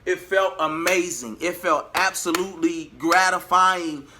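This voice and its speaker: A man vlogging in a casual, colloquial storytelling style, animated and acting out bits of dialogue as he goes. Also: high voice, measured pace, a little hiss or hum. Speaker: vlogger and singer.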